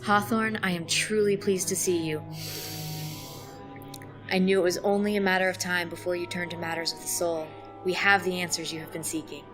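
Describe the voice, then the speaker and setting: Audio drama cult leader, played by a woman: devout, serene, formal, slightly low female voice over music. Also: fairly even intonation, natural voice. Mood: serene, welcoming. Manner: formal, reverent, measured.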